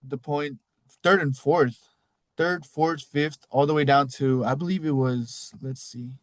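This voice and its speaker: A man's voice: on the high side, fairly even intonation, unhurried, no echo. A man with a Mexican accent hosting a casual league recap podcast, rambling loosely and cussing now and then. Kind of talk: casual solo recap talk.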